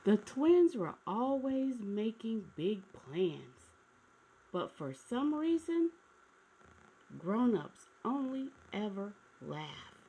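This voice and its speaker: A woman hosting story time, reading a picture book expressively, with dramatic pauses and asides to the children. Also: medium pitch, slightly rough, poor audio. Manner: animated, asks listeners questions, dramatic pauses.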